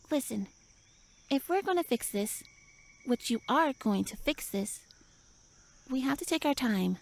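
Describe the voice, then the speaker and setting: A woman voicing a tiny, pouty tsundere elf with little wings, flustered, huffy and indignant, speaking to the listener in a roleplay audio drama. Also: a little high. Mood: huffy, defensive.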